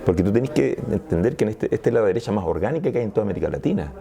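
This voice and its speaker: A man's voice: lowish voice, expressive, very fast, creaky, dark tone. A Chilean man speaking as a sociologist guest in an interview, talking in a discursive, analytical way and often restarting his sentences. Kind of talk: interview conversation.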